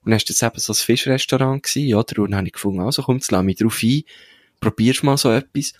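A man's voice: mid-range voice, quick pace, no room sound, clean studio sound. A man with a Swiss German accent in a casual podcast chat, talking colloquially and anecdotally with slang and frequent tag questions. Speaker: podcast co-host.

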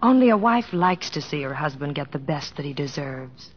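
A woman acting as a comedian's devoted wife, earnest and gently nagging, narrating and playing scenes in an old radio drama. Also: low voice, expressive, moderate pace, a little hiss or hum. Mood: contrite, tender. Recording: dull vintage radio recording, faint music.

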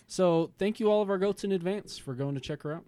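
A man's voice: high-pitched, a little fast, clear, resonant tone. A man hosting a podcast in casual chat, joking and laughing easily. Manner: jokey, laughing, lively delivery. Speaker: podcast host.

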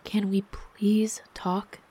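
A woman's voice: mid-range voice, brisk, darkish tone, slightly rough. A woman voicing an upset, jealous young wife in a storybook, emotional and defensive. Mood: apologetic, subdued. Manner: pleading, emotional.

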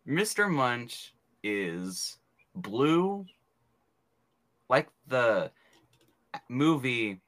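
Giggly young man, bright voice. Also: mid-range voice, big pitch swings, very slow, long pauses. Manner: giggly, playful. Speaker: podcast co-host. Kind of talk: joking sibling banter.

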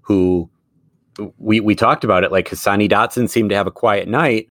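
A low-voiced man co-hosting a casual sports podcast, chatty and quick to laugh. His voice sits close and dry, with no room sound around it. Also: fairly even intonation, fairly bright tone, no room sound. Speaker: podcast co-host; middle school teacher.

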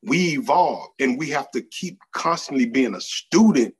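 Husky man in his forties, lively and preachy, debating. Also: mid-range voice, normal speed. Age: 40s. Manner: preachy, animated, lays out points with "however". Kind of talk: casual debate chat.

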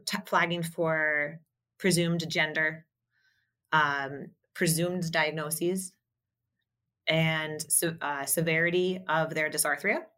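A woman speech-language pathologist answering interview questions, explaining her work in a measured way with frequent fillers and restarts. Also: low-pitched, sharp tone.

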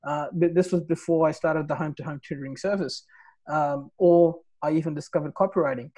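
A man in his thirties, originally from Nepal, talks as a guest on an interview show. His voice sits a little high for a man, and he keeps tossing in "right?" and "like, you know" as he goes. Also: fairly even intonation, fairly quick, mellow. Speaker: podcast guest. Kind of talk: casual interview, telling his life story.

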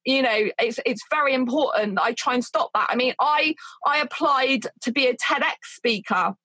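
A woman speaking as an outspoken advocate, earnest and passionate, who says she has a loud voice. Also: sits high, normal speed, dry recording. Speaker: asexuality advocate. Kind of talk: passionate interview talk.

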